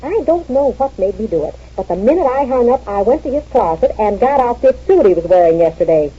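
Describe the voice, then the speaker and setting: A woman's voice: on the high side, animated, a little fast, husky. A woman playing a jealous wife who interrogates her friend in a 1940s radio comedy, on a muffled old recording. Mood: suspicious. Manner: indignant, interrogating, theatrical. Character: jealous suspicious wife, sharp and dramatic.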